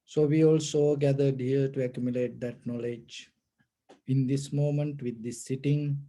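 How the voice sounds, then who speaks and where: A man teaching Buddhist meditation, giving gentle, measured guided instructions in short phrases with pauses. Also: mid-range voice.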